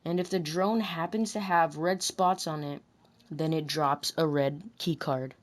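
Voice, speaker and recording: A woman with a slightly low voice narrates video game commentary. She reads it as scripted voice-over, deliberate in her delivery, and tends to restart and reword her sentences. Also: steady tone. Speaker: narrator recording voice lines about a video game.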